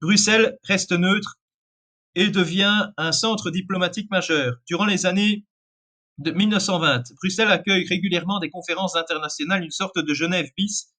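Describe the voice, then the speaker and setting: Male narrator, bright voice, self-correcting read. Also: sits high, moderate pace. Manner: reads with frequent restarts and self-corrections. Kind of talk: read alternate-history narration.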